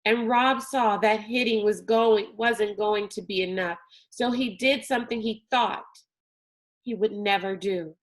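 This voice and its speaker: A woman narrating a children's novel aloud in a deliberate, expressive storytelling manner. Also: medium pitch.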